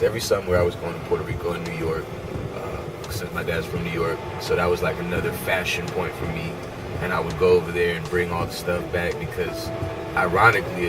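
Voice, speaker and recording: A young man talking casually in an interview, rambling, correcting himself and sounding excited. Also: sits low. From Germany. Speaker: interview guest. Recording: distant mic, loud music behind.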